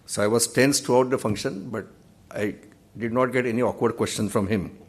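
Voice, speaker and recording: A man in his sixties with an Indian English accent, a judge giving a formal memorial lecture at a podium in a large auditorium, telling measured, wry anecdotes. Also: medium pitch, husky. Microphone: podium microphone.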